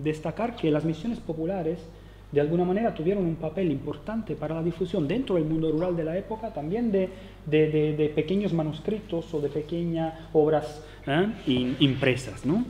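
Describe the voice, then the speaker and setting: Male lecturer, italian accent, slightly high voice, halting delivery with lots of fillers. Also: dark tone, a little hiss or hum. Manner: hesitant, many fillers and restarts, tag questions. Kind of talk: academic conference talk.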